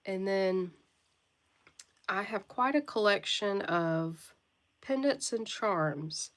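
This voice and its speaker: A woman with a congested, stuffy voice talks in an unhurried, leisurely way during a live online sale. She greets her viewers one by one and pauses often to clear her throat. Her pitch sits in an ordinary middle range for a woman. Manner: friendly greetings to viewers by name; occasional coughing and throat clearing. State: stuffy nose from a cold. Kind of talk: casual livestream chat.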